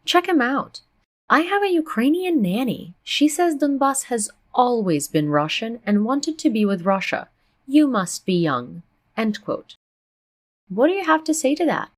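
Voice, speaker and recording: A woman's voice: fairly high pitch, sing-song, unhurried. A woman hosting an interview, reading viewer comments aloud in a dry, wry way. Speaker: interview host.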